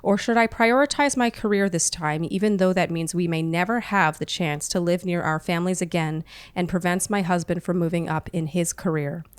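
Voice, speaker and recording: A woman co-hosts an advice podcast in a conversational way. Her voice sits a little low for a woman and is notably smooth and clear. The sound is dry, with very little room in it. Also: normal speed, few pauses, dry recording. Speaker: podcast co-host answering listener questions. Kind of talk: reading a listener email aloud, conversational advice podcast. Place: living room, indoors.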